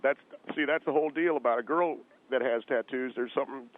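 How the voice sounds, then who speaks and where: A man's voice: medium pitch, slight background noise, natural voice. Man playing aggrieved guy on a tinny phone line. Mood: indignant. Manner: indignant, halting, stubbornly repetitive. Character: aggrieved, insecure, pompous, thin-skinned married man. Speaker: radio show guest on the phone. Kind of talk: improvised comic radio interview.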